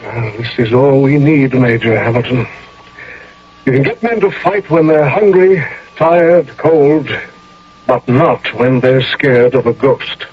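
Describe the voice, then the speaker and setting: An actor playing a seasoned older general in a radio drama, speaking with formal, measured authority. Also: male voice, medium pitch, lightly acted. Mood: firm, weary. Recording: dull, noisy old radio recording.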